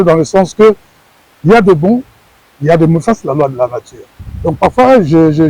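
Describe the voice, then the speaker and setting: A man's voice: a little high, a little mellow, no echo, poor audio. A middle-aged man from Congo, a former wrestler, answering interview questions earnestly and often repeating words as he searches for phrasing.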